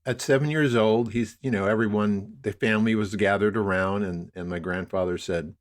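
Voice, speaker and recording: An older man being interviewed as a podcast guest, telling stories conversationally in a halting, rambling way. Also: fairly low pitch, steady tone. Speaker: podcast guest, media producer.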